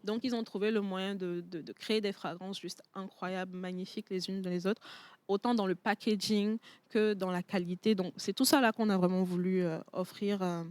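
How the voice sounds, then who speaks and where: A woman from Togo whose first language is Tchokosi, a perfume entrepreneur speaking as a guest in a TV studio interview, pausing often on fillers. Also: medium pitch, fairly even intonation, a little mellow, clean tone, dry recording. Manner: hesitant, many fillers and restarts.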